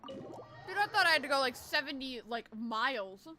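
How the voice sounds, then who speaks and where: A woman's voice: sits high, expressive, normal speed, sharp tone. A woman hosting a gaming video with casual, sarcastic commentary, swearing and muttering to herself. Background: video game music.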